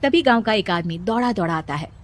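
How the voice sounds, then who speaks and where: A woman's voice: mid-range voice, lively intonation, brisk, no room sound. A woman narrator reading a scripted moral story.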